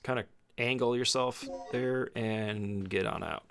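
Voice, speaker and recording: A man's voice: mid-range voice, fairly even intonation, a little slow, gravelly, fairly bright tone, a little hiss or hum. Casual man talking through a game tutorial. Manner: informal, swears freely, explains step by step. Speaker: speedrunner giving a tutorial. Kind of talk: casual gameplay tutorial commentary.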